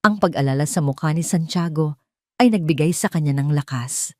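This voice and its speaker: A woman's voice: low-pitched, a little fast, dark tone, dry recording, clean studio sound. A woman reading a scripted dramatic story in an emotive storytelling manner. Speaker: story narrator.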